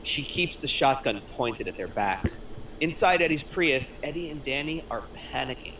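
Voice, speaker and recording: A man's voice: mid-range voice, moderate pace, a bit bright. A man reading screenplay action lines aloud as the narrator of a table read. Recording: distant mic, faint background noise.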